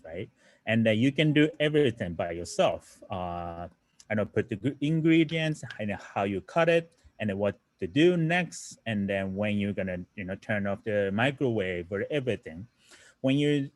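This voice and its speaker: Man, Japanese accent, non-native English, lively pitch, dry close sound, online teacher. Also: dry recording. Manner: explanatory, many "right?" tag questions and fillers. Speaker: programming instructor. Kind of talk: online lesson explaining slides.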